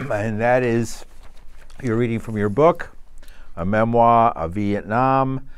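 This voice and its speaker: A man's voice: mid-range voice, slow, clear, resonant tone. A man hosting an interview, asking long, rambling questions and adding short backchannel replies. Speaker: interviewer, host. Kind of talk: conversational interview.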